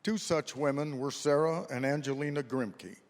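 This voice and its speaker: A man narrating history from a script for a staged reading, with formal, deliberate phrasing, in a reverberant hall. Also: fairly high pitch. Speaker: narrator of a readers theater. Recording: echoey hall with long reverb.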